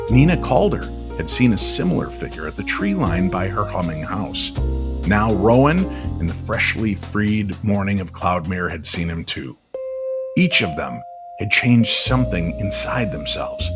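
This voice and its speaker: A man narrating an eerie, nostalgic winter-evening style story in a measured, dramatic way. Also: lowish voice. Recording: music under the voice.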